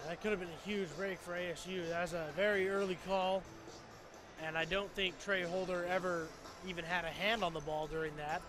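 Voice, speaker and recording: A man's voice: high-pitched, fairly even intonation, fairly quick, over music. A young man giving live color commentary on a sports game, weighing up the plays. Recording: noisy live sports venue.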